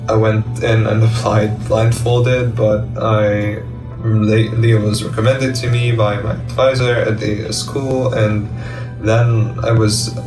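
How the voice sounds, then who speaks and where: Roomy recording with music behind, young man, student testimonial, informal delivery. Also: lowish voice. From Jordan. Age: early 20s. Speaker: university student. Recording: roomy, distant mic, background music.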